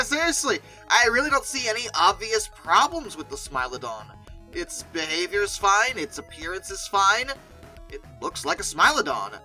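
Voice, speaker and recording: Bright, animated video commentator over music. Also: medium pitch. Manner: opinionated, emphatic, repeating phrases for stress. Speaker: video host and reviewer. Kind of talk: scripted video commentary with conversational asides. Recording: music playing behind the voice. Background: background music.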